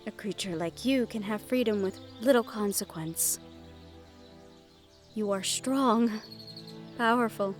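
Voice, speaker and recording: A woman playing a sheltered young noblewoman in a fantasy audio roleplay, gentle, wistful and quietly willful, with formal phrasing. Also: mid-range voice, slow pace, pauses often, soft background music, lightly acted. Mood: earnest, teasing. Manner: formal speech with few contractions. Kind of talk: fantasy audio roleplay acting, addressed to the listener.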